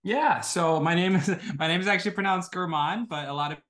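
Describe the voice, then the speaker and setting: A man's voice: high-pitched, fairly quick, a bit bright. A man in his twenties from Estonia, answering interview questions casually with a conversational "you know" habit. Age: 20s. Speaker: guest, e-commerce entrepreneur.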